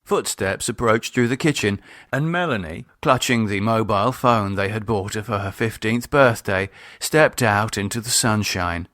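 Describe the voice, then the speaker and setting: A man's voice: on the low side, normal speed, hardly any pauses, fairly bright tone, dry recording, clean studio sound. A man with a British accent reading scripted audiobook narration as its author and narrator.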